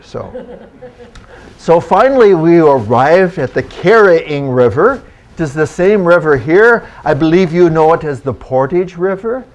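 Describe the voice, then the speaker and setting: A man's voice: on the high side, lo-fi sound. Man playing a formal old-time country doctor. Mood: wry, storytelling. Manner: formal old-fashioned phrasing, deliberate, theatrical. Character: genial 19th-century German immigrant country doctor, travelling storyteller. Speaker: historical reenactor performing for an audience. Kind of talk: first-person living-history storytelling in character.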